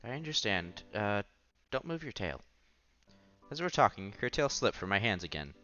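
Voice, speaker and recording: A man's voice: lowish voice, sing-song, normal speed, lo-fi sound. A man reading a visual novel aloud on a headset mic, acting out the dialogue and adding offhand comments in a casual way. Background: soft music.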